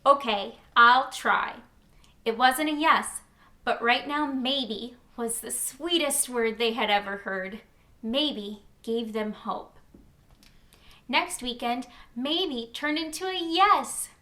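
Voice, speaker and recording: High, bright woman reading a children's storybook. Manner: deliberate, expressive, stretches key words. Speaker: children's read-aloud host. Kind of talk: animated storybook read-aloud for children.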